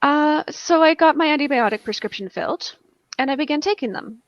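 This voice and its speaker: Higher-voiced woman telling a wry story. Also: brisk, dry recording. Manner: wry, self-deprecating, acts out dialogue. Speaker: guest on a charity livestream. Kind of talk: casual personal storytelling. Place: indoors at home, on a group video call. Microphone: video call microphone. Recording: dull, close video-call audio.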